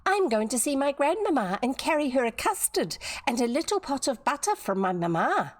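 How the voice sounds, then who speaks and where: Kiwi lady putting on a tiny trusting little girl, high and sweet, storybook style. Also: sing-song, normal speed. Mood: innocent. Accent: New Zealand. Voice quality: high childish voice.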